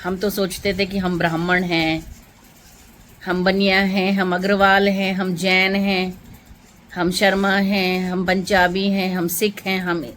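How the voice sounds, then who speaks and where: A woman speaks straight to her viewers in an emotional political monologue. Her voice sits at a middle pitch, and she talks in a rhetorical, impassioned way, repeating phrases to press them home. Faint noise runs behind her voice. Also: flat delivery, takes it slowly, fairly bright tone. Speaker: vlogger.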